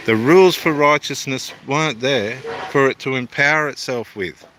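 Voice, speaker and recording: A man's voice: mid-range voice, moderate pace, fairly bright tone, slight background noise. A middle-aged Australian man, an evangelist and theologian, talking casually and meanderingly to camera at a building site by the water. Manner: meandering, self-deprecating, homely. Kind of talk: casual rambling talk to camera.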